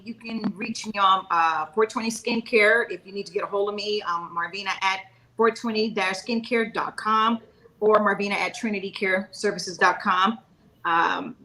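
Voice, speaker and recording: A woman's voice: mid-range voice, pushed and bright, rough recording. A woman speaking casually as a panelist, polite and matter-of-fact. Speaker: panelist, skincare and edibles maker. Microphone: video call.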